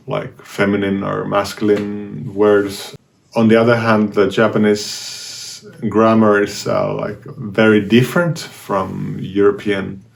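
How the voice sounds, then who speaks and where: A man with a Finnish accent speaks slowly and unhurriedly, his somewhat low voice carrying a gravelly edge. He talks casually, pausing often and restarting his sentences as he thinks. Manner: hesitant, many fillers and restarts. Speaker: language learning vlogger. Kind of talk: casual talk to camera.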